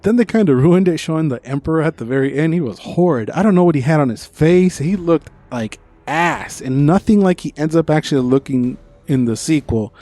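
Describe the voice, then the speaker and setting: A man's voice: on the high side, sing-song, a little fast, hardly any pauses, dark tone, no echo. A man co-hosting a podcast, giving an informal, opinionated movie review in casual conversation and swearing freely.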